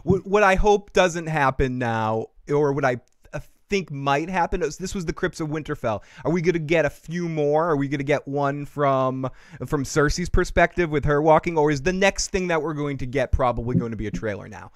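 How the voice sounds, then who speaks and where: An excitable man with a Boston accent hosts a casual fan show. His voice sits fairly high and very clear, recorded dry and close. He rambles emphatically and swears freely. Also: moderate pace, no echo. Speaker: host of a TV fan call-in show. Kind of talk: casual, profane fan commentary.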